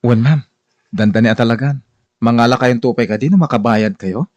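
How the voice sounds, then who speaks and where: A man's voice: medium pitch, brisk, darkish tone, lo-fi sound, lightly acted. A man acting a hard-pressed working husband and polite bill collector in an Ilocano radio drama, speaking earnestly and conversationally.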